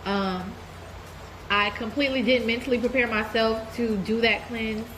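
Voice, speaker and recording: A woman's voice: a little high, moderate pace, a little hiss or hum, rough recording. Stuffy-voiced woman chatting to camera. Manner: relaxed, candid, addresses viewers as y'all. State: stuffy from a cold. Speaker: vlogger giving a life update. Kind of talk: casual chat to camera.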